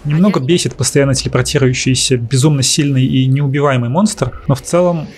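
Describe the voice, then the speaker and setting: A man's voice: mid-range voice, brisk, a little mellow, a little hiss or hum. A man giving a casual scripted voice-over review as the host.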